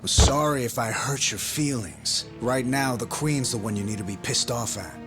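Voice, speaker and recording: An actor playing a steady, pragmatic leader in a video game, earnest and firm, in clipped phrases. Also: male voice, mid-range voice, moderate pace, music in the background, natural voice. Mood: firm, urging. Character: steady, pragmatic male leader briefing his allies. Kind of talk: dramatic video game cutscene acting.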